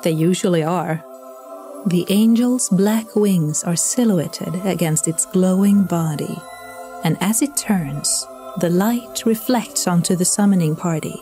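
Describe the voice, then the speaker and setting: A woman's voice: fairly low pitch, unhurried, dark tone, soft background music. A woman narrating a scripted story as a storyteller for an audio drama.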